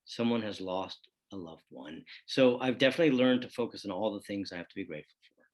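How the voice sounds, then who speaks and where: A man playing an earnest, self-disciplined person in documentary theater, speaking in a measured, reflective way. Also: on the low side, close to an everyday voice.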